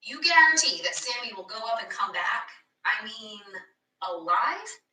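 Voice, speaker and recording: A woman's voice: fairly high pitch, very animated, unhurried, sharp tone, heavily acted. A woman voicing a worried, doubtful young boy in a storybook, hesitant and full of questions. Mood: sceptical, hesitant. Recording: echoey room, distant microphone.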